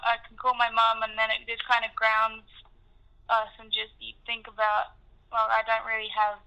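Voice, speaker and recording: A woman musician raised in Africa and Indonesia talks over a muffled phone line. Her voice is bright and smooth, and her pitch stays fairly flat. She is chatty and casual, with plenty of fillers. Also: normal speed. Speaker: musician in a band.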